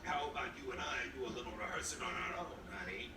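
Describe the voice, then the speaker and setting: An actor playing a lecherous, wisecracking ventriloquist dummy come to life in a TV drama, with sleazy, brash banter. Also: male voice, mid-range voice, lively intonation, quick pace. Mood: leering. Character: lecherous wisecracking living ventriloquist dummy, old demon hunter. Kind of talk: television drama acting. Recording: roomy, distant playback with clear noise.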